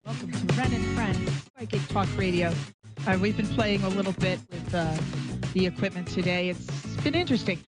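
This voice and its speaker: A woman's voice: mid-range voice, moderate pace, over loud music. A woman hosting a live call-in radio show in a chatty, scattered, self-deprecating way. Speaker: radio talk show host, psychic medium. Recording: dull, roomy live stream audio.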